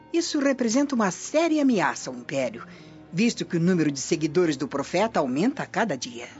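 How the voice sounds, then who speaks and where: Self-assured noble schemer, Brazilian radio drama, formal, very lively pitch, dull sound. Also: female voice. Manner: formal, ingratiating. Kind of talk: radio soap acting in Portuguese. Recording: muffled radio drama with music underneath.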